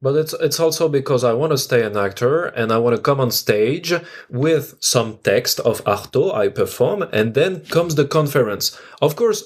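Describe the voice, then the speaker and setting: A man speaking as an actor and guest on a panel, thinking aloud reflectively and searching for words as he goes. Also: mid-range voice. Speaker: actor and guest speaker on a theatre talk show.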